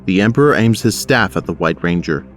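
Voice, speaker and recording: A man's voice: low-pitched, lively intonation, fairly quick, faint music behind. A man narrating an action audio drama from a script.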